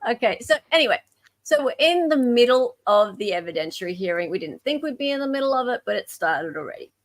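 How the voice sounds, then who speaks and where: A woman's voice: on the high side, very animated, quick pace. Playful, chatty Australian woman. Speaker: livestream host. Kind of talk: casual livestream chat.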